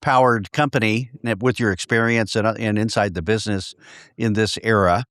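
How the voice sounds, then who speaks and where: A man hosting a business podcast, interviewing a founder in a relaxed, chatty way with lots of short encouraging replies. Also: lowish voice, moderate pace, clear, resonant tone, no room sound, clean studio sound. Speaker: podcast host.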